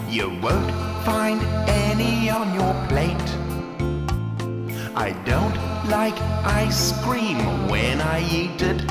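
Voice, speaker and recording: A woman's voice: medium pitch, echoey room. A woman singing a playful children's song as a frog-loving character. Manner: sung, playful.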